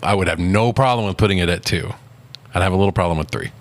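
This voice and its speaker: A man's voice: low-pitched, fast. A man co-hosting a podcast, chatting about movies in a laid-back, informal way. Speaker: podcast co-host. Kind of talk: casual movie review chat.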